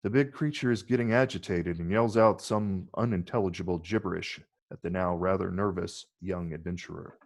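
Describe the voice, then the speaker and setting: A man with a gravelly, husky voice reading stage directions as scripted narration. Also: deep voice, brisk, hardly any pauses.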